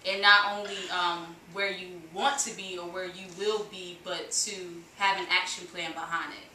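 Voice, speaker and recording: A woman's voice: fairly low pitch, steady tone, normal speed, sharp tone, slight background noise. A twenty-year-old woman student talks earnestly in a casual group chat, filmed from across a living room. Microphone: far camera. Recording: echoey room, far from camera.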